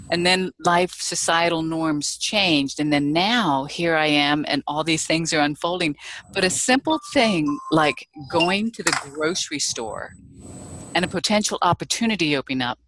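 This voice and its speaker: A woman's voice: low voice, a bit bright, slight background noise. A woman sharing her thoughts in a rambling, reflective way on a group video call.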